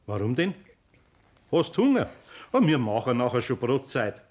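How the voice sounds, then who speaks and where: A man playing a kindly, sly older craftsman in a 1960s radio play, with a Bavarian accent and an unhurried, homely manner. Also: medium pitch, big pitch swings. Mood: probing, stern. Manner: unhurried, homely, chuckling, gently admonishing.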